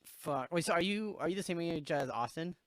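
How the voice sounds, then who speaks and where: Smooth-voiced man in his late twenties. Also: fairly high pitch, lively intonation, a little fast, no room sound, studio quality. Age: late 20s. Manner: loose storytelling, swears freely, laughs at own jokes. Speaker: podcast host. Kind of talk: casual chat.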